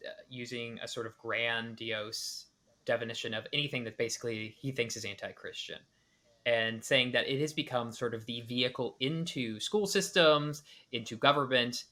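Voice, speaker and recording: Male podcast host reacting to a clip, bright voice, analytical, lots of restarts. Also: medium pitch. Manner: analytical, with many restarts and hedged speculation. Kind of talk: reaction commentary.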